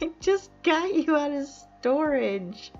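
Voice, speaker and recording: A woman's voice: sits high, big pitch swings, slow, darkish tone. A woman from upstate New York chatting casually while live-streaming a game, laughing often and reading chat aloud. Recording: dull lo-fi mic with faint music.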